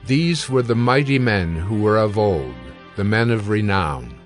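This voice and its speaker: A man narrating dramatized scripture from a script in a solemn, measured and formal manner. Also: lowish voice, lively intonation. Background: music.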